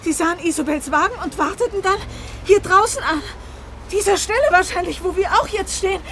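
Casting: shrewd, agitated female amateur sleuth, high urgent voice, radio drama with light background noise. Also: a little fast, a bit bright. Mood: dawning realisation, accusing. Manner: urgent, emphatic, dramatic delivery. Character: crime novelist woman, shrewd, accusing, agitated amateur sleuth. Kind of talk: German radio drama acting.

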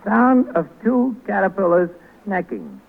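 An actor playing a fussy, prudish, folksy radio censor in a 1940s comedy sketch. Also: male voice, high-pitched, wildly swooping pitch, a little slow, vocal fry, heavily acted. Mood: prim, disapproving. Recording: tinny, noisy old radio broadcast.

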